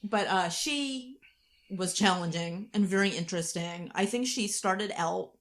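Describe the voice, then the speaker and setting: A woman's voice: mid-range voice, unhurried, a bit bright. A woman chatting as a podcast co-host, actor and writer, reflective and explanatory in manner. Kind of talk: behind-the-scenes podcast chat.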